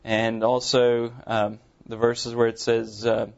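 South african guy, afrikaans first language, mild accent, earnest church testimony, flat voice, kinda dull recording. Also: mid-range voice, vocal fry. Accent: Afrikaans-accented English, mild. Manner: earnest, halting, many ums and uhs. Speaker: visiting pastor. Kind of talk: sermon testimony to a congregation. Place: church sanctuary.

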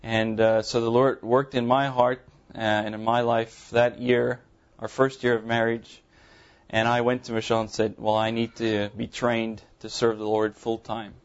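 Earnest South African pastor, mild accent. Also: male voice, medium pitch, level tone, muffled recording. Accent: Afrikaans-accented English, mild. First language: Afrikaans. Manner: earnest, halting, many ums and uhs. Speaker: visiting pastor. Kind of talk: sermon testimony to a congregation. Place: church sanctuary.

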